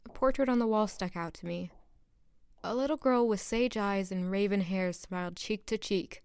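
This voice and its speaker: An actress playing an earnest, nervous young palace maid who narrates and speaks in a first-person audio drama. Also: female voice, medium pitch, moderate pace, a little mellow, smooth, dry recording, clean studio sound, natural voice. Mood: curious.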